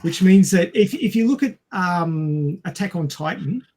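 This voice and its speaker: A man's voice: high-pitched, lively intonation, measured pace, a little mellow. A man co-hosting a podcast, explaining science informally in a didactic manner. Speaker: podcast co-host.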